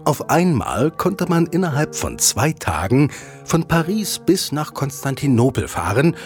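A man's voice: fairly high pitch, big pitch swings, a little slow, soft background music. A man narrating an audio play in a scripted storytelling style.

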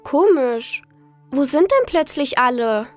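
A woman's voice: sits high, sing-song, slow pace, faint music behind, heavily acted. A female performer playing an earnest young girl in a children's audio drama, thinking aloud in a childlike, simple way. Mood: searching, puzzled. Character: young girl, earnest, wistful, attached to her toy.

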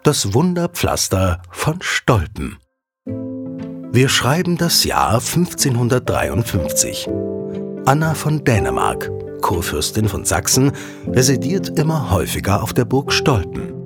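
A man narrating a scripted audio drama as a dramatic, expressive storyteller. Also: mid-range voice, unhurried, vocal fry. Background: background music.

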